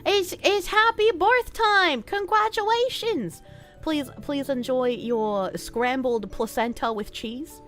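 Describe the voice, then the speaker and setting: A woman voicing a giddy, overexcited woman cheering a birth, with a squealing, drawn-out sing-song delivery. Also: high voice, fairly bright tone, soft background music, pitched above the performer's own voice.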